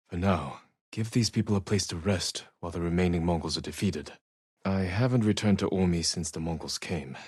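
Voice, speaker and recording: A man playing a stoic, honorable samurai warrior in a video game, husky and measured, with terse, solemn delivery. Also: low voice, no room sound, clean studio sound. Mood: quiet, somber, then calm, composed. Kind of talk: video game dramatic voice acting.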